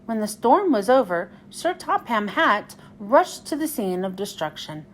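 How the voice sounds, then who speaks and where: A woman reading a children's storybook aloud as narrator, in an expressive, deliberate way. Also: medium pitch, slight background noise. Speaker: children's book read-aloud host.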